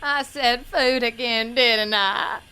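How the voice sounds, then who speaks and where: A woman as a slow, sweet pageant hopeful. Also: fairly high pitch, steady tone, pushed and bright, clean tone. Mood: flustered, tearful. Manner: apologetic, flustered, naive. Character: sweet, nervous, dim-witted young pageant contestant. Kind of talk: audio drama acting.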